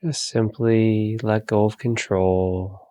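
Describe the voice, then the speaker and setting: A man leading a guided meditation, giving calm, soothing, gentle instructions with long pauses between phrases. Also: on the low side.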